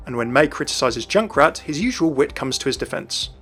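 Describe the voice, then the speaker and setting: A male narrator delivers game lore commentary at a brisk clip. His voice sits at a middle pitch, with music playing underneath. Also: expressive, a bit bright. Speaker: video narrator.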